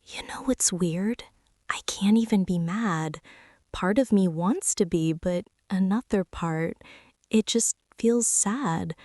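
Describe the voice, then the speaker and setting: A woman playing a young former bully in an intimate ASMR roleplay, speaking in a hushed, hesitant way that often slips toward a whisper. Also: mid-range voice, slow pace, no echo, studio quality. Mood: wistful, tender. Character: young female former bully, stunned, teasing, softening into tenderness.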